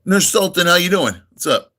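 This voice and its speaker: A middle-aged American man with a full radio-style voice, hosting a relaxed live stream. He greets viewers by name and wanders through his answers with plenty of ums and uhs, friendly and unhurried. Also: sits high. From United States, near New York. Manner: laid-back, friendly, rambling with many ums and uhs.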